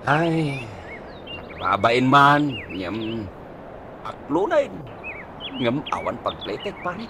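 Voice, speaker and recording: A man's voice: medium pitch, sing-song, very slow, noisy background. A man playing a hard-up, browbeaten husband in a radio comedy-drama, hesitant and complaining, with an Ilocano accent. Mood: downcast.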